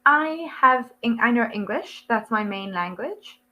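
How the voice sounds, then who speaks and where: A young woman with a South African accent talks through an online English lesson over a video call. Her voice sits a little high and she chats freely, laughing often and filling her sentences with ums and likes. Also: lively intonation, a little slow, dull audio. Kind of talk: casual one-to-one lesson chat.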